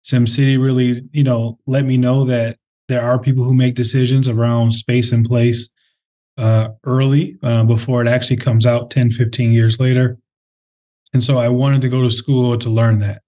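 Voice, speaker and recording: A man from the Twin Cities, a company head, answering interview questions in a thoughtful, conversational way with frequent pauses and fillers. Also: mid-range voice, flat delivery, warm tone. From Minnesota, Twin Cities. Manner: thoughtful, many fillers and restarts. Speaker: real estate CEO, interview guest.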